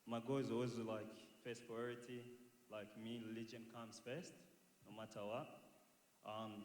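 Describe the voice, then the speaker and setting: A young man gives an informal talk to an audience, nervous and hesitant, often repeating words. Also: medium pitch. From Congo, raised in Tanzania and later South Australia. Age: young adult. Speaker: guest speaker at a church youth expo. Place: large echoing indoor hall. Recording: echoey hall, some background noise.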